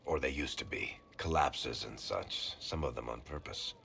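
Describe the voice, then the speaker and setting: An actor playing a wry, roguish middle-aged man in a video game, with a gravelly voice and a sardonic, conversational delivery. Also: a bass voice, moderate pace, sharp tone, full character voice. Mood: matter-of-fact.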